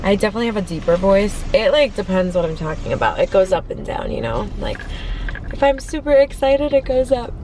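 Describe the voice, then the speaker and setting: A young woman chatting casually in a parked car, talking fast and filling her talk with "like". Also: mid-range voice, very animated, background noise. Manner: chatty, filler-heavy, lots of "like". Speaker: vlogger. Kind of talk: casual car mukbang chat. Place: parked car at a drive-through.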